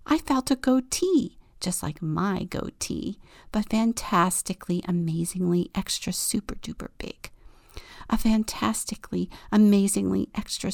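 Woman doing a bossy excitable goat. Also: mid-range voice, gravelly, no room sound, clean studio sound.

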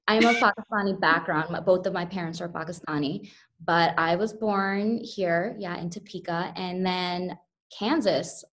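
A young woman with a lowish, fairly deep voice talks through her story as a podcast guest. She is from the United States and speaks earnestly and reflectively, often restarting her sentences. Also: normal speed, fairly bright tone, poor audio. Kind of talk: interview conversation.

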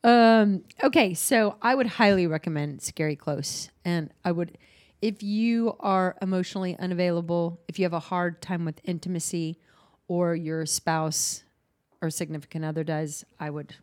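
Candid, low-voiced woman podcast host. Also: a little mellow. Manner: candid, halting, many restarts and fillers. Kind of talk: casual podcast chat.

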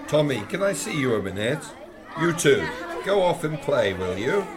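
A man playing a brisk, authoritative schoolmaster in a radio play. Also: on the high side, very animated, a crowd in the background. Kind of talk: amateur radio play acting.

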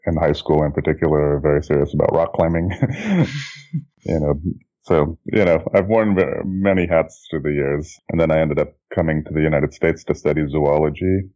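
A middle-aged man from Indonesia, a guest telling his life story in a relaxed, reflective way, often restarting his sentences and chuckling. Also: rumbling low, normal speed. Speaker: guest, children's book author and graphic designer. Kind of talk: relaxed interview conversation. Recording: dull lo-fi audio.

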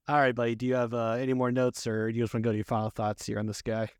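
A man hosting a casual movie review podcast, talking in a halting, repetitive way. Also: mid-range voice, completely flat delivery, clear, resonant tone, dry recording, studio quality. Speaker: podcast host.

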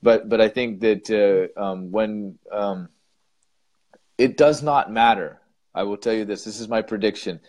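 A man's voice: lowish voice, slow pace, darkish tone. A man co-hosting a casual chat who gives blunt, emphatic opinions and often restarts his sentences. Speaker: co-host.

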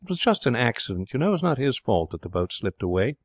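A man's rendering of a gentle mother in a storybook reading. She is indulgent, yet mildly reproving and quick to be shocked. The pitch is lifted and the sound is dry. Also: very animated, breathy edge, no room sound. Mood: gently reproving.

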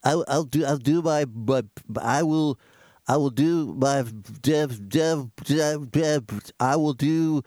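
A man's voice: on the high side, slow, dark tone, no echo, pitched above the performer's own voice. A man voicing a dim-witted, mouthy male sidekick who stammers and mangles words, on a small desk mic in a home studio. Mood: dopey. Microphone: small desk mic stand.

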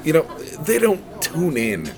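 A man's voice: fairly high pitch, wildly swooping pitch, unhurried, crowd noise. A man co-hosting a podcast in a restaurant, rambling casually with crude jokes and many fillers. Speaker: podcast co-host. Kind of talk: casual chat. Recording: podcast recorded in a restaurant with music behind.

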